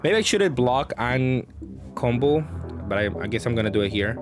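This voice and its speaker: A man's voice: mid-range voice, sing-song, moderate pace. A man giving casual gameplay commentary, thinking aloud in a hesitant way as he works through his options. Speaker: card game player and channel host. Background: background music.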